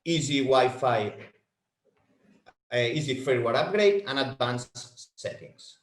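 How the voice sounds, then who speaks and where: A man whose first language is Spanish, presenting a product webinar from home in halting, self-correcting English. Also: medium pitch, animated, echoey room.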